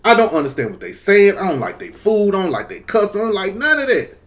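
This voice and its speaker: A man's voice: high-pitched, fast talker. Blunt man in his forties. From Mississippi, United States. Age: 40s. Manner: blunt, mocking, animated storytelling. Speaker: commentary host. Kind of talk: reaction commentary.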